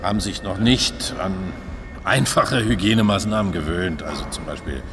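A man's voice: sits low, moderate pace, long reverb tail, music in the background. A man playing a self-satisfied, defensive older volunteer organiser with a patronising manner in a radio crime drama. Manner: officious, patronising.

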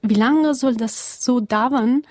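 A young woman from Afghanistan answering interview questions earnestly in halting, German, with many pauses and fillers. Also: on the high side, darkish tone.

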